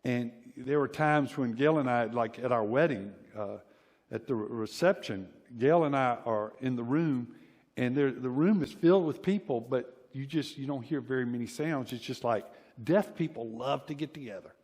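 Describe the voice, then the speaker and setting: A man's voice: mid-range voice, normal speed, a little mellow. A middle-aged American man with a Southern accent preaches a conversational sermon in a folksy, informal way, repeating phrases for emphasis. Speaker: pastor preaching. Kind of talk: conversational sermon with personal anecdotes. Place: church hall, preaching to a congregation. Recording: reverberant large hall.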